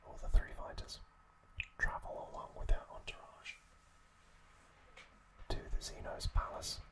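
A man whispering breathily in a halting, gentle ASMR storytelling recap, close to the microphone. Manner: slow, halting phrases with pauses. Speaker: ASMR host.

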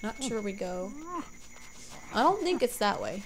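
A woman's voice: mid-range voice, big pitch swings, normal speed, a bit bright, slight background noise, poor audio. A young woman commentating a video game casually, congested from allergies, rambling and correcting herself often. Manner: rambling, self-correcting, unsure. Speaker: let's play gamer.

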